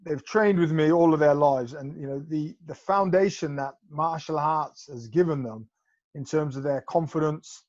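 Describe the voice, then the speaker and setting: A man's voice: fairly high pitch, normal speed, darkish tone, no echo. A man co-hosting an interview, asking questions in a rambling way and repeating words. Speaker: podcast co-host. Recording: dull lo-fi dry sound.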